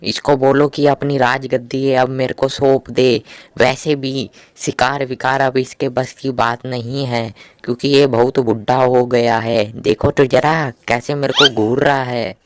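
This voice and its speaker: A man playing a cocky young lion, mid-pitched. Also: little pitch movement, measured pace, smooth, no echo, lightly acted. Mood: brash, mocking. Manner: colloquial, boastful, pestering. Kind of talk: Hindi animated moral story dialogue. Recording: faint background noise.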